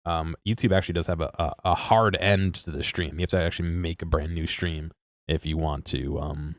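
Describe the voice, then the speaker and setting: A man's voice: sits low, fairly even intonation, a little fast, no room sound, clean studio sound. A congested man chatting casually to his live-stream audience, rambling and interrupting himself, chuckling now and then.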